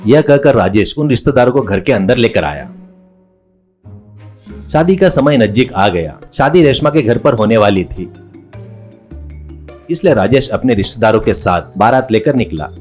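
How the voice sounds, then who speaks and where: Animated male storyteller over music. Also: mid-range voice, moderate pace, pauses often. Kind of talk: scripted comedy story narration.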